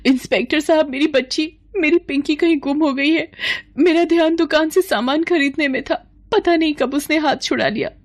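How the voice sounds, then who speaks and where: A woman's voice: high voice, normal speed, pitched above the performer's own voice, close to an everyday voice. A woman voicing a frantic, emotional mother of a small child in an audio story. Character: frantic, grateful young mother of a lost girl.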